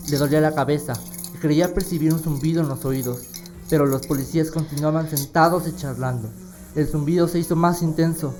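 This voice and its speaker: A man narrating a scripted radio drama in a measured, dramatic storytelling manner. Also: medium pitch, a little mellow. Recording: clear music behind the voice.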